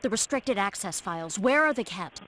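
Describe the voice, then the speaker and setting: A woman's voice: mid-range voice, lively intonation, moderate pace, a bit bright, natural voice. A woman playing a determined female agent infiltrating enemy systems in a scripted video game. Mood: insistent. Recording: soundboard clips with light noise, clicks.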